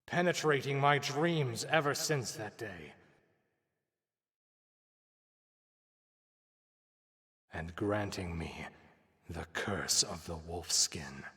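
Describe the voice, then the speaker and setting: A man voicing a tormented, lovesick cursed man in a fantasy visual novel, brooding and theatrical, with halting intensity and a breathy, husky voice. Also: fairly low pitch, sharp tone, exaggerated voice. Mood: haunted, brooding. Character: tormented cursed man, lovesick, fearing his own bloodlust. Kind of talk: dramatic visual novel monologue.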